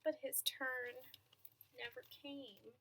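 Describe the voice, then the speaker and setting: A woman reading a children's picture book aloud in an expressive, dramatic manner, with asides to young listeners. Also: sits high, slow, slight background noise. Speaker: storybook reader.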